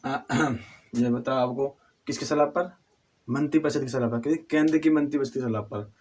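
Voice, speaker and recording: A hoarse man with a cold teaching a civics lesson, halting and restarting often, asking questions and answering them himself. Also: mid-range voice, a little mellow. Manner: halting, with frequent restarts and throat clearing; addresses viewers as friends, asks and answers own questions.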